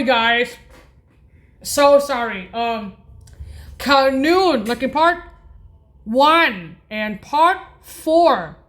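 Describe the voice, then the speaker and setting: A woman giving an informal music tutorial in a halting, repetitive way, correcting herself as she goes. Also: high voice, fairly bright tone. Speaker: music practice video host. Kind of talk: informal spoken tutorial naming keyboard voice effects.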